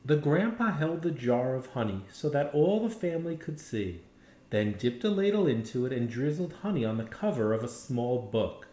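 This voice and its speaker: Animated man reading in an echoey room. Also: mid-range voice, normal speed. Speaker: educator reading a picture book aloud. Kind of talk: expressive storybook narration.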